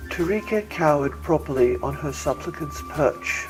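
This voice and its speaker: A man's voice: a little high, expressive, normal speed, poor audio. A man reading their own science fiction story aloud as scripted audiobook narration. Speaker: author reading own novel. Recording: music bed behind.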